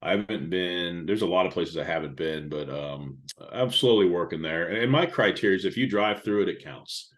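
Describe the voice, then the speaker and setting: A middle-aged man from the Indianapolis area with a loud radio-style voice, chatting casually as a podcast guest and trailing off quietly at the ends of phrases. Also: low-pitched, normal speed, few pauses. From Indianapolis area, USA. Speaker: podcast guest, speaker and author. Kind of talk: casual interview talk.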